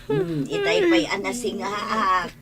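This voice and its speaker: A woman's voice: fairly high pitch, very animated, measured pace, creaky, crowd noise, exaggerated voice. A woman playing a motherly, practical older housemaid in an audio drama. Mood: sleepy, resigned. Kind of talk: audio drama acting in dialogue.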